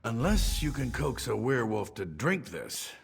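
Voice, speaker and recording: Testy alchemist, swooping intonation. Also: male voice, medium pitch, big pitch swings, moderate pace, gravelly, breathy edge, exaggerated voice. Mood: muttering, fussy. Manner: testy, fussy, learned. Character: irritable, brusque male alchemist, absorbed in work. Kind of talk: fantasy video game acting.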